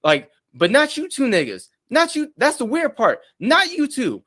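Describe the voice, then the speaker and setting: A man in a furious streaming rant, loud and profane, taunting his targets. His voice sits high for a man, and he keeps up a relentless, mocking push. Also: moderate pace. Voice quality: deep voice in his own view. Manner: profane, loud, taunting, mocks others' monotone. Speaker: streamer in a played clip. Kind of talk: angry rant.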